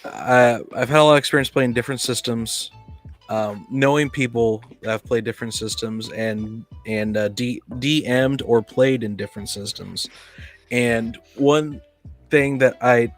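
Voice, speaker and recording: A man's voice: medium pitch, fairly even intonation, measured pace. Opinionated man in casual debate, light music behind. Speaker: podcast panelist, experienced tabletop player. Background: background music.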